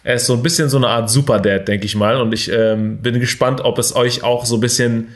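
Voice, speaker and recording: A young man hosting a motivational podcast, energetic and full of fillers and English buzzwords. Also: medium pitch, brisk. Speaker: podcast host. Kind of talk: casual motivational talk.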